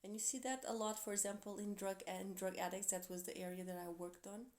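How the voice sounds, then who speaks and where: A woman from Portugal, speaking English as a second language with a Portuguese accent, giving an earnest, encouraging tarot reading with soft, quiet asides. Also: medium pitch, fairly even intonation, a little fast. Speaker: tarot reader. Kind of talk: tarot reading for a zodiac sign.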